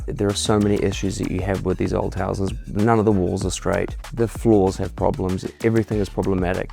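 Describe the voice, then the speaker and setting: A middle-aged man, a homeowner speaking candidly in a relaxed testimonial interview, often restarting his words. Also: low voice, vocal fry. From New Zealand. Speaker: homeowner giving a client testimonial. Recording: soft music underneath.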